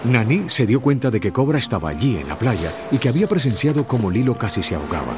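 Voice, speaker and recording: A man's voice: mid-range voice, sing-song, quick pace, music in the background. A man narrating a scripted children's audio story.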